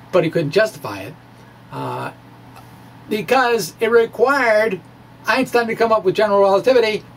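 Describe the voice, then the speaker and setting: A middle-aged man explaining physics informally to camera in a halting, conversational way with animated emphasis. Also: high-pitched. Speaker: science video blogger. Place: small indoor room with bookshelves. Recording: clear steady background noise.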